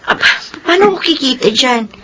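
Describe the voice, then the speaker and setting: Naive, humble young mother, radio drama; high-pitched, hesitant, soft-mannered. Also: expressive, brisk. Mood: hesitant, innocent. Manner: meek, hesitant, polite. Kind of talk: Filipino radio drama acting.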